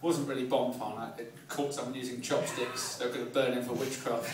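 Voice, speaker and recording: A man in his forties with a southern English accent, doing live stand-up comedy in a wry, self-deprecating way with halting, repeated phrases. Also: medium pitch, flat delivery. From Kent, England. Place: live comedy night in a pub venue. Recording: echoey club, distant mic, audience noise. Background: audience laughter.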